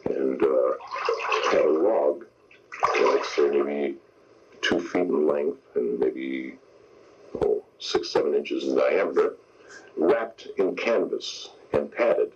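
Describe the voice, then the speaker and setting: An older man telling an anecdote casually in an interview, halting and plain-spoken. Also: extremely low pitch, a little mellow, a little hiss or hum. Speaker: veteran radio sound effects man being interviewed. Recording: slightly muffled radio tape.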